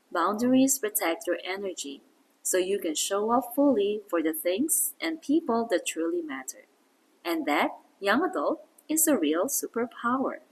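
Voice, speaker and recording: A woman reads a scripted lesson aloud at a slow, deliberate pace. Her voice is slightly husky and sits at a middle pitch. She sounds like an encouraging teacher, laying out each point in an instructional manner for learners. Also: rough recording. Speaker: English reading teacher and narrator.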